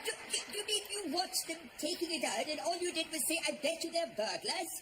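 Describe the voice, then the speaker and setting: An actress playing an outraged burglary victim who rants with indignant disbelief. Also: female voice, very high voice, big pitch swings, fairly quick. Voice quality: indignant, incredulous, ranting. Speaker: burgled neighbour. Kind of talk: sitcom acting. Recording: noisy broadcast sound.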